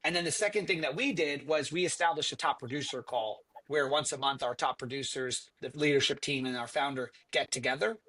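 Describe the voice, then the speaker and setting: Bright-voiced earnest man. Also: medium pitch, a little fast, hardly any pauses, breathy edge. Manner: earnest, conversational, many fillers and restarts. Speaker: franchise company CEO. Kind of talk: panel discussion answer.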